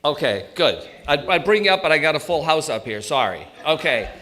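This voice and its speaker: A man's voice: a little high, big pitch swings, fairly quick, pushed and bright. A man in his sixties with a New England accent, giving an informal live talk and bantering jokingly with his audience. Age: 60s. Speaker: presenter, elder law attorney.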